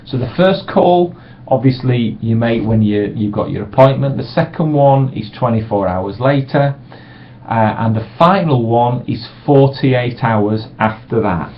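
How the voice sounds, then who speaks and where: A man's voice: medium pitch, a little slow, a little hiss or hum. A middle-aged man, giving a casual, reassuring sales training talk from a small room. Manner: relaxed, reassuring, acts out sample voicemails brightly. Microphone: webcam microphone. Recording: dull lo-fi webcam audio.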